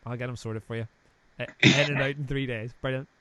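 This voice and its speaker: A man's voice: mid-range voice, steady tone, a little fast, a crowd in the background. A man in his thirties with a Northern Irish accent, hosting a live-stream interview in a chatty, joking, rambling way. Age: 30s. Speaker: host.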